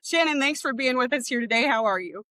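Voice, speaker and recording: A woman's voice: high voice, lively intonation, quick pace, bright, forceful tone, dry recording, studio quality. A woman co-hosting a podcast, introducing a guest in an upbeat way. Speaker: podcast co-host.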